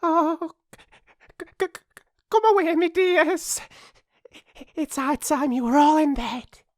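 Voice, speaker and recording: A woman voicing a fussy, fretful old female creature with a quavering, slow storybook delivery. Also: mid-range voice, very animated, a bit bright, no echo, clean studio sound, pitched above the performer's own voice. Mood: trembling.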